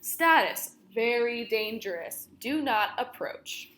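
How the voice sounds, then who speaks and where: A young woman reads a children's book aloud in a fairly high voice. The room is roomy and echoing, and her delivery is that of storytelling for kids. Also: animated, slow, a bit bright, a little hiss or hum. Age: young adult.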